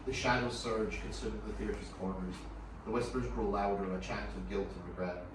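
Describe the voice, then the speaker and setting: Male narrator for screenplay table read, deep register, distant and noisy recording. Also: steady tone, normal speed. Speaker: narrator reading stage directions. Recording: distant echoey room, clear background noise.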